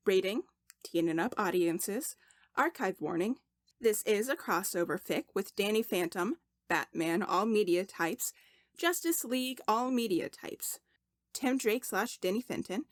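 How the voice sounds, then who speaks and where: A woman reading a story aloud as expressive audiobook narration, deliberate, with dramatic pauses for emphasis. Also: mid-range voice, no echo, studio quality. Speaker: podfic narrator.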